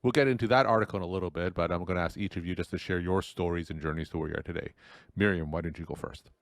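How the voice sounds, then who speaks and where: A man's voice: deep voice, very fast, no echo, studio quality. A man hosting a podcast, reading a prepared intro and putting questions to guests. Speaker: podcast host. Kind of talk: scripted intro and interview questions.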